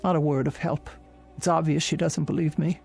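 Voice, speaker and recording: A woman's voice: low voice, steady tone, fairly quick, vocal fry, darkish tone, breathy edge, soft background music, exaggerated voice. An older woman playing an anxious, querulous elderly invalid in a radio drama, speaking formally and insistently. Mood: fretful, anxious.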